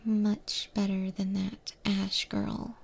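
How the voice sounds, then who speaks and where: A woman playing a calm, courteous eldritch monster chef in a soft-spoken, whispered ASMR roleplay. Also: mid-range voice, vocal fry, breathy edge, heavily acted. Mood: gently cautioning. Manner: slow, gentle, polite, unhurried.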